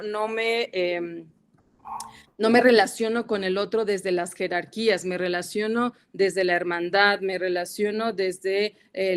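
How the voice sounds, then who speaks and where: A woman with a Mexican accent giving an academic panel talk over a video call, hesitant and full of fillers and restarts. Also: mid-range voice. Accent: Mexican Spanish. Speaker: guest academic speaker.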